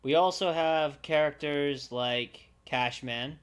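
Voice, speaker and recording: A man livestreaming casually, thinking aloud in a halting, unscripted way as he works through ideas. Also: a little high, clear, resonant tone. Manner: halting, pausing to think and correct himself. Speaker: livestreamer brainstorming a roleplaying campaign.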